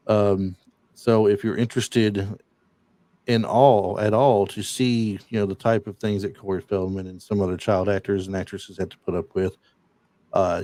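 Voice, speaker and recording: A man's voice: on the low side, warm tone, dry recording. Man reciting movie trivia, dry sound. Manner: retells movie scenes and reads off film trivia, with many fillers. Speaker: podcast host. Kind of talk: casual chat.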